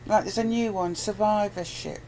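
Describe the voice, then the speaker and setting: Creaky-voiced woman, insistent in casual debate. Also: medium pitch, steady tone, normal speed, dark tone, poor audio. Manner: insistent, argumentative. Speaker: interviewer in conversation. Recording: faint noise on amateur chat recording.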